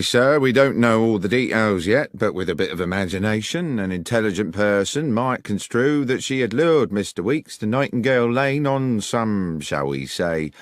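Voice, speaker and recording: A man's voice: fairly low pitch, no echo, studio quality, voice pushed up, close to an everyday voice. A man doing a pompous country police sergeant. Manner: pompous, condescending. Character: self-important country police sergeant, condescending.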